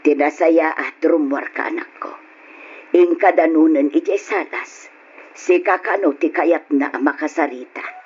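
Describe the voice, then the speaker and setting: An actress playing a gentle older mother speaking to her daughter in an old-style radio drama. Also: low-pitched, a little slow. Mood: gentle, coaxing. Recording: dull, noisy old radio drama recording.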